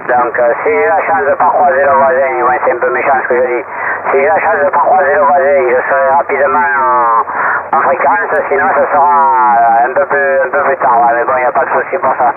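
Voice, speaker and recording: A man talking casually in halting pieces as a mobile radio operator, heard over a radio transmission. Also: mid-range voice. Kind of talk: casual radio contact chat. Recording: narrow tinny radio link, clear noise.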